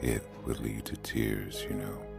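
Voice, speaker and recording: An actor playing a solemn, tender storyteller, reciting poetic lines in a gravelly voice. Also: male voice, very deep voice, level tone, a little slow, over loud music, exaggerated voice. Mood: wistful, solemn. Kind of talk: poetic scripted narration.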